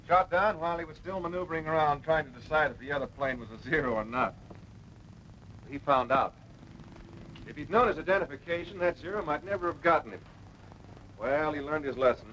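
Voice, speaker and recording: An actor playing a seasoned, patient air force officer giving measured, authoritative instruction in a 1940s military training film. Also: male voice, on the high side, a bit bright, close to an everyday voice. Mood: matter-of-fact. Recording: noisy dull vintage film soundtrack.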